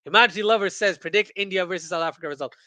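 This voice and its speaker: A man originally from Pakistan hosting a casual cricket watchalong, reading viewer comments aloud and commenting excitably, often repeating phrases for emphasis. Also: sits high, rapid-fire, dry recording. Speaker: livestream host and cricket commentator.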